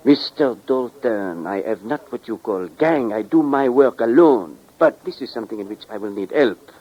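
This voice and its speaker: An actor playing a sly French thief with a French accent, speaking formal, deliberate broken English with polite menace in a 1940s radio crime drama. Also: male voice, medium pitch, animated, mellow, lightly acted, low-quality recording. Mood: smooth, scheming. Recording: vintage 1940s radio recording.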